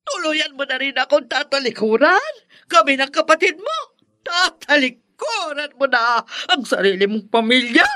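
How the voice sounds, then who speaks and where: A woman's voice: high voice, sing-song, normal speed, bright, forceful tone, dry recording, heavily acted. A woman acting in a Filipino radio drama as a possessive middle-aged mother, reproachful and melodramatic. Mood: hurt, accusing. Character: possessive, melodramatic, disapproving mother of grown sons.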